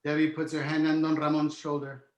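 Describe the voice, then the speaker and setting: A man's voice: on the high side, monotone, fairly quick, highs cut off. A man narrating a screenplay, reading out scene headings and stage directions from the script. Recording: roomy, echoing sound.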